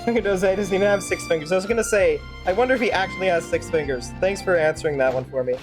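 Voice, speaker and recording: A woman's voice: lowish voice, very fast, music in the background. A woman streaming a game, giving casual commentary in a wry, argumentative manner.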